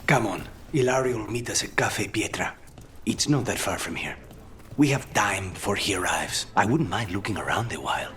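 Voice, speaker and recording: An actor playing a suave, dry-witted male assassin in a video game, speaking in a measured, understated way. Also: fairly low pitch, animated, a bit bright, a little hiss or hum. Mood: calm, reflective. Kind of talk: video game dramatic voice acting.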